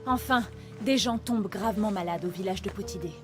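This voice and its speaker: A woman's voice: mid-range voice, brisk, soft background music, close to an everyday voice. A woman acting a determined young huntress in a dubbed video game, earnest and urgent. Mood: serious, resolute. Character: determined young huntress worried for her stubborn father.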